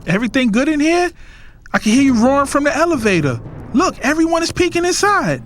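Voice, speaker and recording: A man's voice: very high voice, very animated, fairly quick, a bit bright, slight background noise, heavily acted. A man acting as a defiant, protective young adult son of a boss in an audio drama. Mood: challenging, firm. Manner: assertive, emphatic. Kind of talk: dramatized first-person audio drama narration and dialogue.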